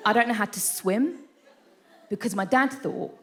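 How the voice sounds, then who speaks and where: A woman's voice: on the high side, normal speed, background noise. A woman in her thirties doing a stand-up set on a theatre stage, chatty and self-deprecating, often tossing in "right?" asides. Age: 30s. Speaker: stand-up comedian. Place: live theatre stage with audience.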